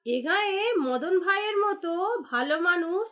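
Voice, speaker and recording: A woman voicing a sharp-tongued, fed-up village wife in a cartoon, nagging and sarcastic. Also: very high-pitched, animated, moderate pace. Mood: scolding, reproachful. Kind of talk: cartoon dialogue acting.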